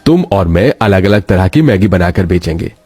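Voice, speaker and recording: A man's voice: sits low, expressive, normal speed, warm tone, husky, no echo, voice pushed down. A man voicing a caring, practical older father, reassuring and full of advice, in a cartoon story. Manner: reassuring, advising.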